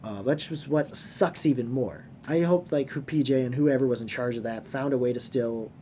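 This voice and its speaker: Male vlogger, mid-pitched, halting and repetitive, casually sweary, unscripted fan commentary with background noise. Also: warm tone. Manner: rambling, halting, repeats and restarts phrases; casually profane. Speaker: host of a movie trivia fan channel. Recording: clearly noisy room sound.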